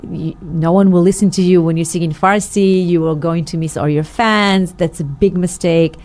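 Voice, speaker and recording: A woman's voice: fairly low pitch, fairly even intonation, moderate pace, a little mellow. A middle-aged woman, a singer, telling her story in an interview with many fillers and restarts. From Israel. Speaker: guest, singer. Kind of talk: interview conversation.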